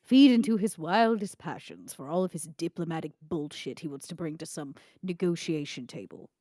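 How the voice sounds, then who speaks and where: A woman playing a haughty, scheming female vampire in a tabletop game, with a dry, sardonic, deliberate delivery. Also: deep voice, animated, dark tone, dry recording. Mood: conspiratorial.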